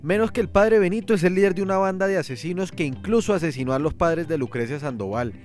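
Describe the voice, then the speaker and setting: A man's voice: high-pitched, fast, darkish tone, soft background music, natural voice. A man playing a defiant accused priest who denounces his judges emphatically in an audio drama. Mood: indignant, accusing.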